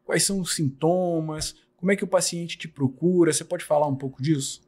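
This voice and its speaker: A man with a fairly high voice hosts a podcast interview. He asks polite, formal questions and stammers a little as he gets them started. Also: fairly quick, a little mellow. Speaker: podcast host.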